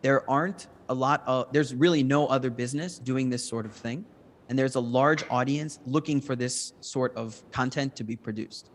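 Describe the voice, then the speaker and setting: A man's voice: medium pitch, normal speed, slight background noise. A man answering judges' questions as a start-up presenter, speaking in an explanatory, assured manner. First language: English. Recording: long hall reverb.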